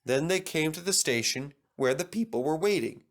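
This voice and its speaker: A man's voice: a little high, animated, normal speed. A man reading a children's story aloud as a scripted narrator.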